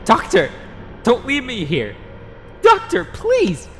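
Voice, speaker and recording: A man's voice: very high-pitched, huge pitch swings, slow pace, in a large hall, a little hiss or hum, pitched above the performer's own voice, exaggerated voice. A man voicing a frightened, abandoned young boy in an audio drama, crying out desperately. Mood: desperate pleading. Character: frightened abandoned boy pleading through a locked door.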